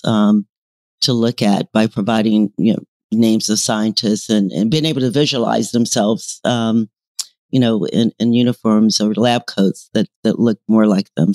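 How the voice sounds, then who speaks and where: A woman hosting an interview podcast, encouraging and unhurried, with halting, searching phrasing. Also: extremely low pitch, dark tone, dry recording.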